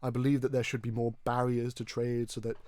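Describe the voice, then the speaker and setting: Male podcast host, from Hong Kong, mid-pitched, earnest and wordy, stumbles over words, close dry sound. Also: level tone, a little mellow, no echo. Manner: earnest, long-winded, halting with repeated words. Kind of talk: conversational political discussion.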